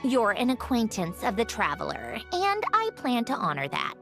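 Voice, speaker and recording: An actress playing a proud, coolly courteous mechanical woman of high rank in a video game, speaking in formal, precise phrases. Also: huge pitch swings, music in the background. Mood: courteous, composed. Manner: formal, measured, precise wording.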